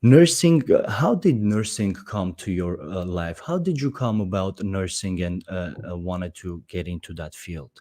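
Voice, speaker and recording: A man in his thirties from Armenia hosts a casual interview over a video call. His voice sits low and sounds warm and mellow. He circles his questions with plenty of hesitations, fillers and restarts. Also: lively intonation, measured pace, hardly any pauses. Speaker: podcast host.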